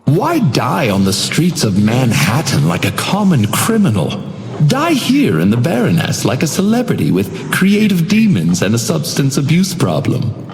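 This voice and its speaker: Sinister otherworldly hotel doorman for a video game: mock-polite, menacing, widely swooping delivery with gravelly creak. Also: on the high side, exaggerated voice. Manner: silky mock politeness, taunting, theatrical. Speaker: game villain voice lines. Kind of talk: theatrical video game character voice lines. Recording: roomy echo with long reverb.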